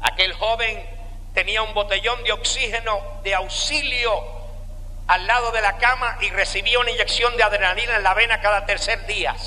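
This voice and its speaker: A man with a Cuban accent giving a slow, deliberate sermon testimony with dramatic pauses. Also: high-pitched, steady tone, bright, forceful tone, big-room sound, low-quality recording. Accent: Cuban Spanish. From Cuba.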